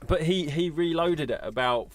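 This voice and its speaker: A man's voice: a little high, animated, normal speed, a crowd in the background. A man co-hosting a podcast in casual, conversational chat.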